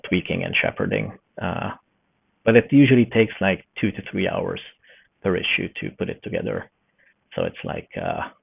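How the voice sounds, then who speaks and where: Hungarian-accented man, middle-aged, deep gravelly voice, halting and thoughtful, thin phone-like remote line. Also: no echo. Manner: thoughtful, searching for words with many fillers. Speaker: podcast guest, newsletter founder. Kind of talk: casual interview talk. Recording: tinny, dry remote call line.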